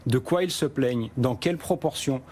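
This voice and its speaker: A man's voice: medium pitch, moderate pace, a little mellow. A man, a startup executive, explaining his company's tool in an interview, with frequent restarts. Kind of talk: business interview answers.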